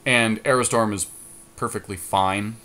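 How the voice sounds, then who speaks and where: A man's voice: fairly low pitch, steady tone, a little slow, bright, forceful tone. A man co-hosting a podcast, chatting casually and analytically as he thinks aloud through a card-game draft. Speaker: podcast co-host.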